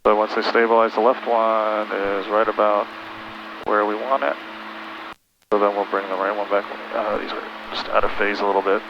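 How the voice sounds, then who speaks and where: A middle-aged American man with a low, gravelly voice gives casual flight instruction. He coaches patiently, one step at a time, over a headset with a clear engine drone behind him. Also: little pitch movement, moderate pace, highs cut off, background noise. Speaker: pilot instructing a student. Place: small twin-engine plane cockpit in flight. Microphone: aviation headset intercom.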